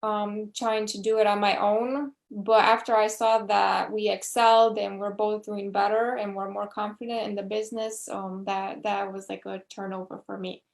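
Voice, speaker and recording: Female guest, mid-pitched and even, casual conversational delivery. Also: moderate pace, creaky, few pauses. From born in Romania, raised in the USA. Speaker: interview guest, online seller. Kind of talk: casual interview.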